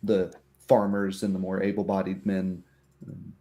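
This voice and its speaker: Lowish voiced guy running a tabletop rpg, narrating the scene. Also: flat delivery, unhurried, mellow. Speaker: game master.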